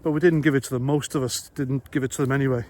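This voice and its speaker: A man's voice: mid-range voice, steady tone, quick pace, darkish tone. A man from Wales with a Welsh accent, an ex-army vlogger giving a blunt, conversational opinion monologue full of rhetorical questions.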